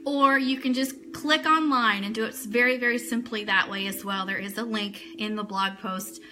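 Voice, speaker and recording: Bright, high-voiced woman hosting a livestream. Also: steady tone, normal speed. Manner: chatty, addressing viewers and reading their comments. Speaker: host of a live online radio show. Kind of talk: casual livestream chat with news items.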